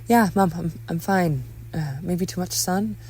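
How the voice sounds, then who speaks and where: A woman's voice: lowish voice, unhurried, dark tone, husky, voice pushed up. A woman voicing a dutiful young man, a grown son, hesitant and placating in a dramatic script reading.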